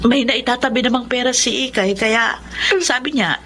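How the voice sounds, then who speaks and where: An actress playing a kindly, conciliatory middle-aged mother, speaking gently in a Tagalog radio drama. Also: on the high side, moderate pace, noisy background, lightly acted. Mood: gentle, reassuring.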